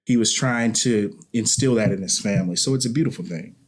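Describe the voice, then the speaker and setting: A man's voice: on the low side, a little fast. A man speaking as an interview guest in a reflective, earnest conversation, often repeating and rephrasing as he goes.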